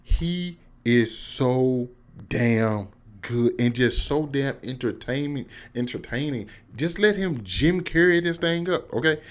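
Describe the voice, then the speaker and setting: A man's voice: medium pitch, slow pace. A man with an American accent giving a casual, slangy, emphatic one-take review as a YouTuber. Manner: slangy, informal, excitable, emphatic.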